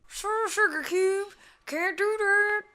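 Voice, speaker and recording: A woman's voice: extremely high pitch, slow, bright, forceful tone, heavily acted. A woman voicing a stubborn, plain-spoken country farm mare with a folksy Southern US accent in a fan audio story. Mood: flustered. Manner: folksy, exclaiming.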